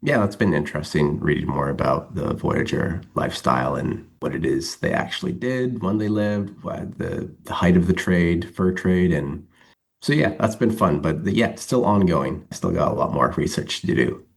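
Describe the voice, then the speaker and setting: A man's voice: sits low, animated, normal speed, vocal fry, slightly rough. A man talking casually as an interview guest, rambling a little as he thinks aloud. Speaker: interview guest, comic writer.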